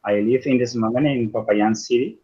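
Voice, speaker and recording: Slow-searching Colombian man, muffled call. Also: mid-range voice, fairly even intonation, mellow, husky. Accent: Colombian Spanish. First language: Spanish. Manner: slow, searching, many fillers. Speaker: primary school English teacher, exam candidate. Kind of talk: halting second-language answers. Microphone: video call.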